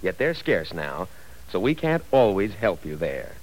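A man's voice: low-pitched, sing-song, unhurried, rough recording. A man reading a radio commercial in a cheerful, persuasive 1940s style. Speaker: commercial announcer.

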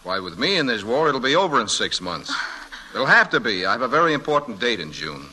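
An actor playing a cheerful, gallant young officer in love in an old-time radio drama, teasing and reassuring. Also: male voice, mid-range voice, big pitch swings, brisk, pushed and bright, heavily acted, poor audio.

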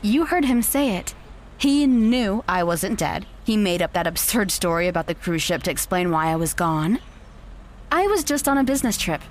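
A woman's voice: mid-range voice, expressive, moderate pace, slight background noise, close to an everyday voice. A young woman playing a possessive, manipulative fiancée in an audio drama, speaking in a haughty, dismissive way. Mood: condescending, persuasive. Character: possessive, condescending con-artist fiancée, smug and manipulative. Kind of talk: naturalistic audio drama acting.

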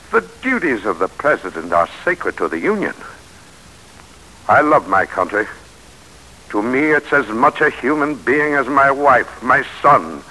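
A man's voice: a little high, bright, forceful tone, heavily acted, poor audio. A man acting in an old radio drama as a proud, embittered 19th-century American statesman, theatrical and oratorical. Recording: vintage radio drama recording.

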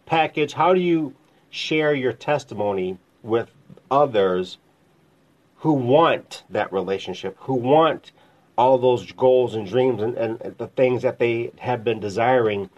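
A man's voice: medium pitch, slow pace, a little mellow. A man in his fifties hosting a casual faith talk, asking long, rambling questions in a conversational way. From America. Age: 50s. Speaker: host. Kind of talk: casual interview chat. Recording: dull lo-fi sound.

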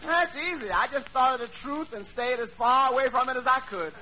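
A man's voice: very high voice, fairly quick. An actor playing a wry tavern employee who needles his boss with dry, sarcastic comebacks in an old radio comedy. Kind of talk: 1940s radio comedy acting. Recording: dull old radio recording, faint noise.

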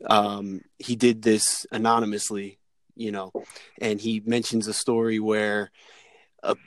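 A man on a remote call chatting as a guest, telling stories in a measured, hesitant way with many fillers. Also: fairly low pitch, no echo. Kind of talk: casual podcast chat.

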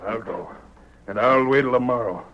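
An actor playing a menacing cowboy villain with rough, threatening talk in a radio drama. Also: male voice, mid-range voice, moderate pace, fairly bright tone, lightly acted. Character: menacing, blackmailing Western male villain. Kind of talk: old-time radio acting. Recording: dull vintage radio recording, faint noise.

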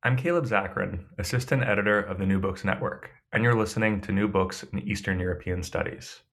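A man's voice: low voice, brisk, gravelly, fairly bright tone. A man hosting a book interview podcast, talking in a hesitant way with lots of fillers and restarts. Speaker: podcast host.

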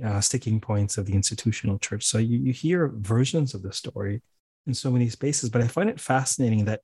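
A man's voice: fairly low pitch, darkish tone, no echo. A man hosting a podcast interview over a video call, chatty, laughing often and restarting his sentences. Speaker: podcast host. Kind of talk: casual interview.

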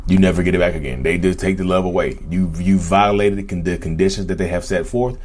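A man in his thirties with a very low, rough-edged voice. He talks in a casual, slangy way straight to his audience. His delivery is emphatic, often circling back to repeat a phrase. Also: quick pace. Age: 30s. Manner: animated, repetitive and emphatic, slangy, acts out imagined dialogue. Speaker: YouTube host speaking about his own narcissism. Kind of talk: casual direct-to-camera talk.

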